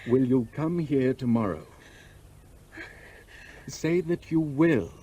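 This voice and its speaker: An actor playing an evasive married lover in a radio play, with a British accent and a cajoling, breezy manner. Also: male voice, medium pitch, expressive, slow, mellow, slightly rough. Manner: pleading, cajoling, breezily dismissive.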